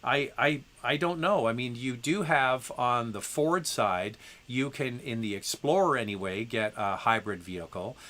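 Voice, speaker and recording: A man's voice: medium pitch, unhurried, few pauses, sharp tone. A man hosting a live Q&A and answering viewer questions, congested from a head cold, talking casually with lots of ums and restarts. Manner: conversational, with frequent ums and restarts; reads viewer messages aloud. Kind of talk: live stream Q&A.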